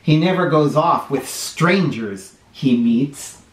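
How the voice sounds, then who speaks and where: Children's read-aloud host: male, middle-aged, expressive and slow-paced, drawing out phrases, recorded in a roomy space. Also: on the high side. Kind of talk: animated storybook read-aloud for kids. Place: small bright living room or playroom, indoors. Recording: roomy indoor echo.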